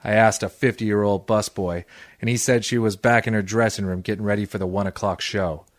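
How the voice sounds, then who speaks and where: Low, terse hardboiled radio detective played by a man. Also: a little fast, no room sound, studio quality, lightly acted. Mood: deadpan narration. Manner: terse, deadpan, tough. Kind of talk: 1940s noir radio acting and narration.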